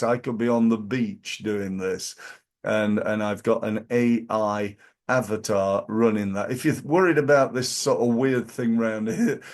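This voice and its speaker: A man from Yorkshire with a Yorkshire accent and a hoarse voice, giving business advice to camera in his office, emphatic and repetitive, with many fillers. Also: medium pitch. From Yorkshire, England. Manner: emphatic, repeats key phrases, many fillers. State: hoarse from flu. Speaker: business coach. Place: office, in front of a backdrop screen.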